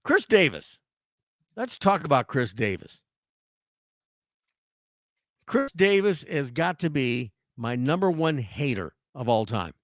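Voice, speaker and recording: A man hosts a sports talk show in a hoarse voice, his pitch swinging up and down as he rants. He restarts his sentences often. The sound is dry and close. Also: takes it slowly, pauses often, dry recording. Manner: animated, ranting, with frequent restarts. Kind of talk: casual sports talk commentary.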